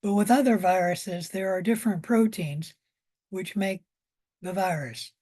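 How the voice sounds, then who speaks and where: Female college lecturer, hoarse, unhurried, mid-pitched, informal explanatory delivery. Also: warm tone. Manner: unhurried explaining with pauses and repetition.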